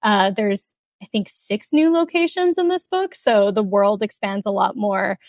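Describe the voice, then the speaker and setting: A young woman from Kuwait, an author talking casually in an interview, chatty with many fillers and soft laughs. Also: medium pitch, unhurried, no room sound, clean studio sound. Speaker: author guest.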